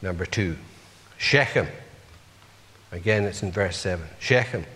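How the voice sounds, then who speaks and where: Slow, earnest preacher man in a reverberant hall. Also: low voice, pushed and bright. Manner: earnest, asks the congregation rhetorical questions. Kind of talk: sermon.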